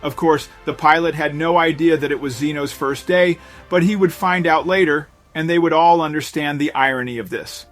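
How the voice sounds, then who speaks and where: A male narrator reads a scripted story in a dramatic, suspense-building way. His voice sits a little higher than average for a man. Soft music runs behind the voice-over. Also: normal speed. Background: background music.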